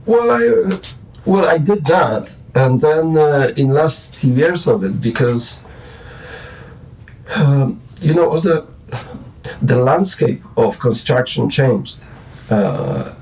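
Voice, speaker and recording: A man's voice: a little high, animated, takes it slowly, a little mellow, distant mic, rough recording. A Polish man in his forties with a Polish accent, rambling and restarting as a guest in a casual late-night interview. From Poland. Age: 40s. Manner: rambling, hesitant, many restarts and fillers. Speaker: guest musician.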